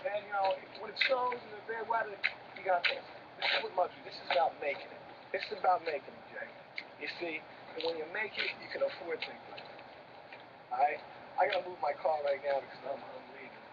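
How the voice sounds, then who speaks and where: A man playing a cocky, fast-talking big brother. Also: high voice, close to an everyday voice. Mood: boastful. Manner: profane, boastful, rapid-fire. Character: cocky self-made older brother, boastful. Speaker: older brother, businessman. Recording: distant, dull, with background noise.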